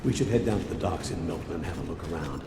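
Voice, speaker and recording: An actor playing a weary, principled old American cop and father in a game cutscene, measured and plain-spoken. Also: low voice, gravelly, noisy background. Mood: grave. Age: older. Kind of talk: video game cutscene acting. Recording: music under the dialogue.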